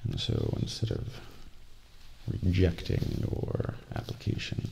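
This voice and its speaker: A man playing a cold, calculating assassin in an ASMR role play, speaking softly and deliberately with polite menace into a close microphone. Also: lightly acted. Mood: smug, cold. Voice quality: breathy, gravelly, near-whisper. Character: cold, calculating male assassin agent gloating over his victim. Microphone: close binaural microphone. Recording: close binaural mic, faint noise.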